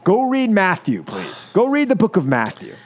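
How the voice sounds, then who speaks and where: A man's voice: high-pitched, huge pitch swings, moderate pace. An American man hosting talk radio, delivering an impassioned political monologue in an emphatic, exasperated way, repeating phrases for stress. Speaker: talk radio show host. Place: radio studio.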